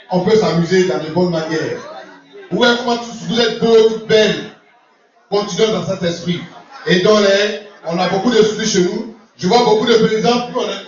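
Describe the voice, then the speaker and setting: A man giving a jovial, laughing speech as sponsor at a community gala, often repeating himself. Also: high-pitched. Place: large event hall. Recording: roomy, distant, lo-fi recording with crowd. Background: crowd of guests.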